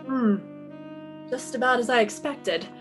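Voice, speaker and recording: Woman acting a sassy high-voiced lady detective. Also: measured pace, music in the background, lightly acted. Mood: unruffled. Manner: breezy, wry, commanding. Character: sassy, confident female private detective. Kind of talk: 1950s radio detective drama, scripted.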